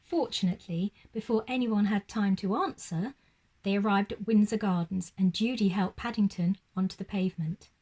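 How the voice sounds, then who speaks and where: A woman's voice: mid-range voice, normal speed, a little mellow, low-quality recording. A woman telling a children's story expressively, like a teacher reading aloud.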